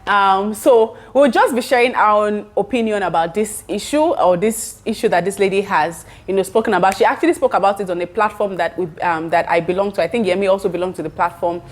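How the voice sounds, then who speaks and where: A woman's voice: medium pitch, a little fast, few pauses. A young woman hosting a casual podcast, bubbly and passionate, laughing often. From Nigeria. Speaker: podcast host.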